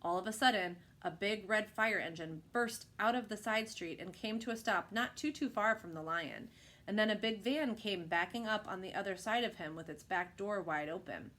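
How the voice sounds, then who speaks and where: Woman reading a kids book out loud, expressive, mid-range voice. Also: medium pitch, moderate pace. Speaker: storyteller reading a picture book aloud. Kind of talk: expressive children's read-aloud narration.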